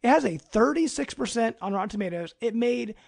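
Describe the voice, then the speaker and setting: Male podcast host, high voice with plenty of creak, casual conversational delivery. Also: animated, a little fast. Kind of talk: casual discussion.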